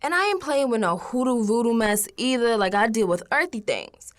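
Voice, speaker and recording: A woman's voice: fairly high pitch, lively intonation, moderate pace, natural voice. A woman playing a streetwise young New Yorker, telling her spooked audio diary in a slangy, conversational radio drama monologue. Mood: exasperated, chatty. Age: young adult. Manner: slangy, conversational, dropping into hushed asides.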